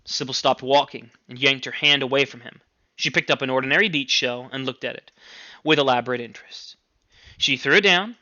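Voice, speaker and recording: A man reading a story aloud as a teacher, in an expressive narrating style with animated delivery. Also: medium pitch, brisk, lo-fi sound.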